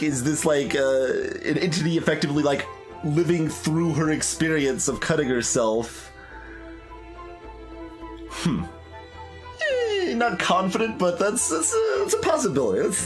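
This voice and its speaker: A young man giving commentary on a game, musing aloud with many fillers and restarts. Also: a little high. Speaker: gaming YouTuber. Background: soft game music.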